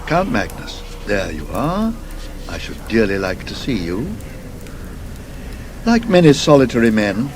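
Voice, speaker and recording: A man voicing a curious, genteel English gentleman traveller with a polite, musing, probing manner in an audiobook. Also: fairly low pitch, big pitch swings, unhurried, pauses often, noisy background.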